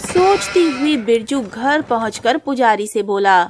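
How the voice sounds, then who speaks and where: A woman reading scripted narration for an animated story. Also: on the high side, unhurried.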